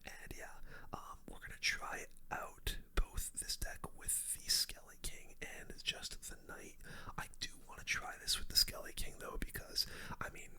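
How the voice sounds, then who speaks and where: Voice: a little slow, bright, forceful tone. A person whispering casual, slangy game commentary as the host of an ASMR gaming video. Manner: whispering throughout.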